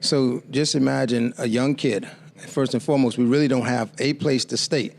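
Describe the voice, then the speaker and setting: A man in his fifties from the Bahamas speaks as an interview guest, with a gravelly, fry-laden voice. He tells his story in a measured, reflective way at a mid-range pitch. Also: fairly even intonation. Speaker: guest author.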